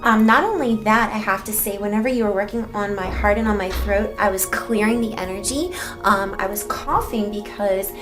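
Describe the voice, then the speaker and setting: A woman's voice: moderate pace, over music. Effusive woman gushing about a healing session. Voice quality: high-pitched, breathy and effusive. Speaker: show host interviewing a healer. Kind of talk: gushing spiritual testimonial.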